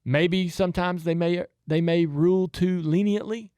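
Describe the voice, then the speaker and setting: A man's voice: sits high, steady tone, moderate pace, a little mellow, smooth, no room sound. A man with a Southern US accent chatting casually about racing as a podcast host, in a rambling, folksy, easygoing way.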